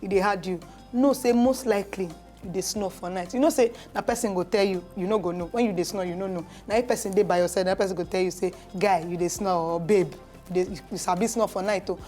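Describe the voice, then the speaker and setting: A woman's voice: medium pitch, quick pace, mellow, faint music behind. Nigerian woman doctor explaining on air. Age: adult. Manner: explains step by step with fillers and restarts. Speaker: doctor presenting a health segment. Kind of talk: casual health talk show. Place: TV studio.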